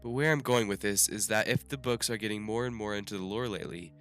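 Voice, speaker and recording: A man's voice: on the low side, fast, fairly bright tone, soft background music. A young man with a nasal, stuffed-up voice after the flu, reading his scripted guesses about a game's story with small restarts. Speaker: gaming YouTuber. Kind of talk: scripted game theory commentary.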